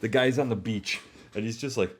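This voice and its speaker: A man co-hosting a podcast, chatting casually in an informal, halting, jokey way. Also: fairly low pitch. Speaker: podcast co-host. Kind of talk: casual chat about movies.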